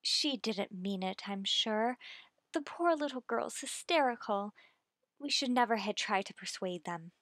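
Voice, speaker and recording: A woman playing a gentle, concerned character in an old-time radio play, soothing and earnest. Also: mid-range voice, lively intonation, measured pace, no echo, studio quality. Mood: hushed, soothing.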